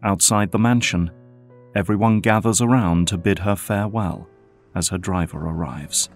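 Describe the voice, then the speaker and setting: A man's voice: low-pitched, normal speed, faint music behind. A man delivering scripted dramatic narration as the narrator of an audio drama.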